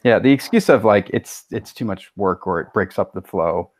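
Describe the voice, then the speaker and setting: Man, deep voice, casual panelist, blunt opinions, tentative starts, dry close recording. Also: animated, moderate pace, no echo.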